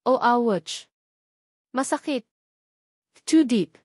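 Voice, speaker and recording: A woman's voice: on the high side, animated, very slow, a little mellow, no room sound, clean studio sound, pitched above the performer's own voice, exaggerated voice. A woman voicing an overwhelmed, pleading young heroine of a narrated romance story, in exclamations.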